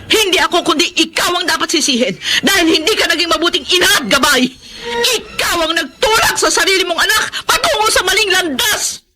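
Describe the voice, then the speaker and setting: An actress playing a jealous, sarcastic and furious wife in a Filipino radio melodrama. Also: female voice, very high-pitched, lively intonation, fairly quick, noisy background. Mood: angry, accusing.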